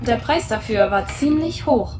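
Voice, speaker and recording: Thoughtful artificial woman, high voice, roomy sound. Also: expressive, unhurried, darkish tone, slight background noise. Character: artificial young woman, curious and calm. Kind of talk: audio drama acting.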